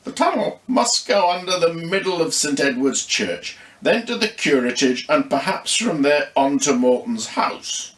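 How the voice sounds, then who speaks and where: Man playing a curious young girl, eager, explanatory, animated intonation, storybook, roomy sound. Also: fairly high pitch, measured pace, hardly any pauses. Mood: thoughtful.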